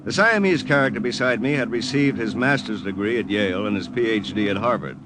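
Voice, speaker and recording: An actor playing a brash American wartime agent, noisy old recording. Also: male voice, brisk, lightly acted. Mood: wry narration. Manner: brash, wry. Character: wisecracking American secret agent lieutenant narrating his mission. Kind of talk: 1950s radio drama acting. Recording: noisy old radio recording with engine rumble.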